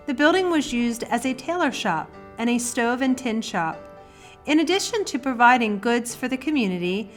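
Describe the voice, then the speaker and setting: Woman narrating a local documentary. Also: on the high side. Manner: steady, measured reading.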